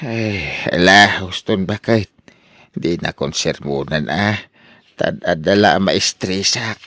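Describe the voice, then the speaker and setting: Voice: low voice, slow pace, fairly bright tone, no room sound, clean studio sound, exaggerated voice. A performer with an Ilocano accent playing a hapless, evasive gambler with a whining manner in a radio drama. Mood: weary grumbling.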